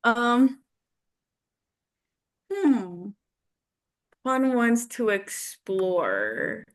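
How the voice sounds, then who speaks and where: A woman's voice: on the high side, big pitch swings, drawn-out words. A woman student chatting casually and hesitantly, thinking aloud as she goes. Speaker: voice acting student. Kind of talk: casual chat.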